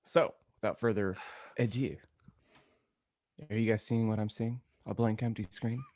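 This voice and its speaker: A man's voice: on the low side, steady tone, moderate pace, darkish tone, dry recording, studio quality. A man hosting a podcast in a casual, playful, joking style. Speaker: podcast host. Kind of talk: casual comedic chat.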